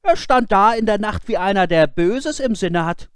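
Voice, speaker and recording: Storybook role: innocent fearless lad, high and emphatic, guileless, male reader acting. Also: lively intonation, moderate pace, a bit bright, clear, resonant tone, no room sound, clean studio sound. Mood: earnest, innocent. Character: naive, fearless, cheerfully innocent simple-minded boy. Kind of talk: fairy-tale storybook character voice.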